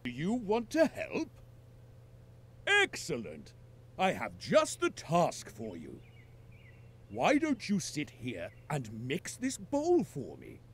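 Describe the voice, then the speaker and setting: A man's voice: on the high side, huge pitch swings, slow pace, fairly bright tone, husky, full character voice. A man voicing a kindly, jolly father pig in a children's cartoon game, in a fatherly, encouraging manner.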